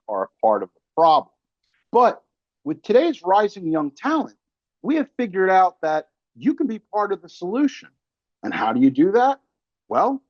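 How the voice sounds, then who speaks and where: A man's voice: high-pitched, a little mellow, no echo. A man hosting a podcast, delivering an emphatic, colloquial and opinionated monologue. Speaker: podcast host. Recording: dry, slightly dull close recording.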